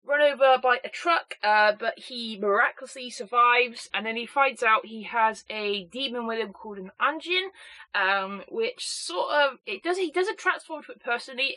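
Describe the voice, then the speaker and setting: A woman with a snuffly cold, chatting excitably and rambling through an unboxing review. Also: fairly high pitch, rough recording. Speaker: anime box reviewer.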